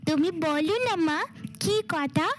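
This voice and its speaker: Woman voicing a high-pitched, trusting little girl. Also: a little slow. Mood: affectionate, trusting. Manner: pleading, childlike, affectionate way of addressing mother. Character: trusting, devoted, timid little stepdaughter girl. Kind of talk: Bengali cartoon fairy-tale voice acting.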